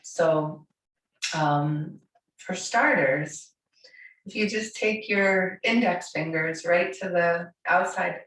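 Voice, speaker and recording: A hoarse woman in her forties with a raspy voice from a cold, gently guiding a yoga class with soft, soothing instructions. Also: lowish voice, slight background noise. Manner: slow, soothing, softly encouraging. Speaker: yoga teacher. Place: bright home studio room with large windows. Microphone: camera across the room. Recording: echoey room, voice from far off.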